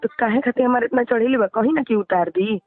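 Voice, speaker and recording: A woman's voice: on the high side, fast talker, no room sound. A woman with a Bhojpuri accent arguing heatedly on the phone, indignant and insistent, often repeating her phrases. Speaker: singer. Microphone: phone line. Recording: tinny phone call recording.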